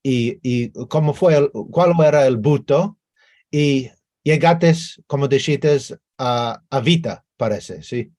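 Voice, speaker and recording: A man hosting an interview over a video call, asking questions in a halting way, searching for words and repeating himself. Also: medium pitch, a little mellow. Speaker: interview host.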